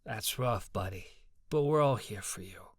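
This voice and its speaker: A man's voice: lowish voice, animated, a little slow, slightly rough, no echo, studio quality. A man voicing a young tough guy in an audiobook, a supportive and teasing best friend. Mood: low, supportive.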